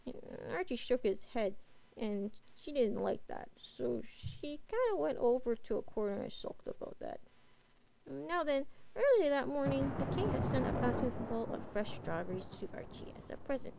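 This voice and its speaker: A woman voicing a cute, playful young catgirl, telling a bedtime story in a halting, chatty, informal way. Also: high voice, big pitch swings, vocal fry, warm tone, heavily acted, low-quality recording. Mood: storytelling.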